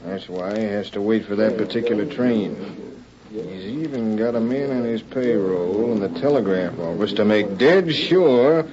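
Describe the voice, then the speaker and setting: A man playing a gruff, loyal outlaw henchman in an old radio western, talking in rough, folksy American cowboy speech. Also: on the low side, moderate pace, a crowd in the background, lightly acted. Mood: boastful, confiding. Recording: dull old radio recording, crowded background.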